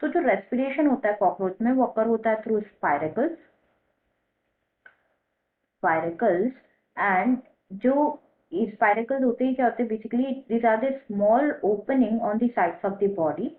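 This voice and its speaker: An Indian woman teacher explaining a lesson, repeating key terms slowly as if dictating. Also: on the high side, expressive. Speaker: biology teacher. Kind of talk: explanatory lesson in mixed Hindi and English. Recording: muffled phone-like narrow-band audio.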